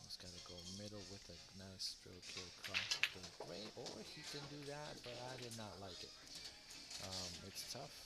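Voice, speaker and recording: A man's voice: lowish voice, moderate pace, loud music behind the voice. A man doing live play-by-play commentary on a pool match for a stream, talking into a headset mic. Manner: relaxed play-by-play, gravelly.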